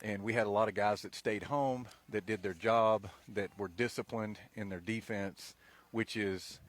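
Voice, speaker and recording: A man with a low voice, giving measured, folksy color commentary on a local radio football broadcast. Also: steady tone.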